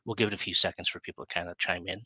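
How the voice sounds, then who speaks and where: Bright, forceful middle-aged male host. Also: low-pitched, fairly even intonation, very fast, husky, no echo. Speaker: talk show host. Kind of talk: casual live-stream interview. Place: plain room, indoors. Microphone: headset.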